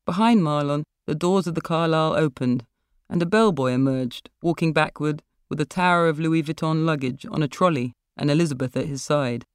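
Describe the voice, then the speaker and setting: A woman's voice: low voice, a little mellow, clean tone, no room sound, clean studio sound. A woman author reading a short story aloud as literary narration.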